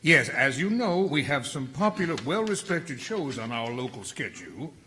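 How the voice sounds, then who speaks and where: A man's voice: mid-range voice, very animated, normal speed, sharp tone, a little hiss or hum. A man playing a lilting Southern station boss. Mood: genial. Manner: genial, lilting.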